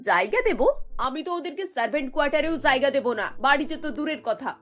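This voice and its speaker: A woman's voice: high-pitched, expressive, quick pace, a bit bright, faint music behind. A woman voicing a snobbish, bossy middle-aged mother-in-law in a cartoon, scornful and dropping English words into her talk. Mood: outraged. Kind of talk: Bengali cartoon voice acting.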